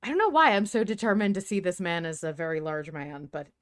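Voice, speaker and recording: A woman's voice: lowish voice, big pitch swings, a little fast. A woman chatting casually and playfully as the host of a fan podcast, in an informal way.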